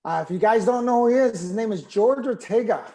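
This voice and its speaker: A man's voice: sits high, a little fast, dark tone. A man hosting a casual interview show, rambling a little and rephrasing his questions as he goes.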